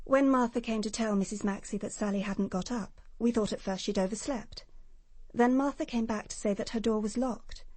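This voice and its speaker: An English actress playing a prim, self-assured nurse giving precise testimony in a British radio crime drama. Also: female voice, on the high side, moderate pace, a little mellow, no echo, natural voice. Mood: composed, defensive.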